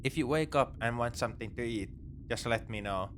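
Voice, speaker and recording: A man's voice: medium pitch, fairly quick, fairly bright tone, slight background noise, close to an everyday voice. A man playing a wry, morally grey space pirate in a sci-fi ASMR roleplay, with a conversational, nonchalant, dryly teasing delivery. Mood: dry, easygoing. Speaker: audio roleplay performer.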